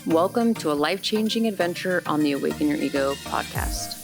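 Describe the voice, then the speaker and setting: A woman co-host reading a scripted show intro in a smooth announcer manner. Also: low voice, expressive, fairly quick. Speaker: podcast co-host. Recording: intro with clear music underneath.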